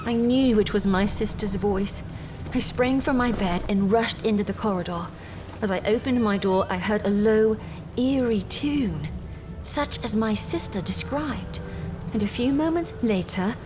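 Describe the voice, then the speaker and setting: An actress playing a troubled young gentlewoman in an audio drama, telling her story in a measured, formal, earnest way. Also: medium pitch, lightly acted.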